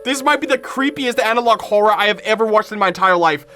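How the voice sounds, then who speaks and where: A man's voice: high-pitched, expressive, fast, sharp tone, soft background music. A man live-streaming his reaction to horror videos, reading text aloud and commenting dramatically, often repeating his phrases.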